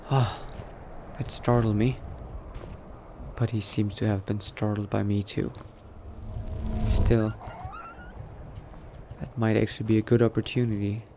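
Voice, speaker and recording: A man's voice: on the low side, flat delivery, unhurried, vocal fry, pauses often. A man voicing a cautious, coldly pragmatic new vampire in a roleplay, muttering to himself and often dropping into a whisper. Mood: startled, calculating. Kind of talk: in-character roleplay narration, thinking aloud. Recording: noticeable background noise.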